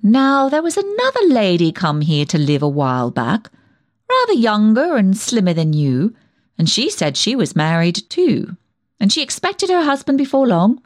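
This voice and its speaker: A woman's voice: medium pitch, huge pitch swings, moderate pace, dry recording, studio quality. Woman playing a nosy, swooping village gossip. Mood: prying, insinuating. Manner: chatty, prying, with backhanded remarks. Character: nosy, tactless village dressmaker, a gossiping spinster. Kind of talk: dramatic monologue reading, comic character storytelling.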